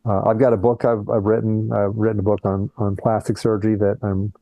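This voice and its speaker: A man's voice: fairly low pitch, level tone, fairly quick, gravelly, dry recording, narrow, tinny audio, faint music behind. An older man who is a plastic surgeon, talking earnestly in a conversational interview over a video call and often restarting his words. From born in Colombia, raised in Brazil and the US.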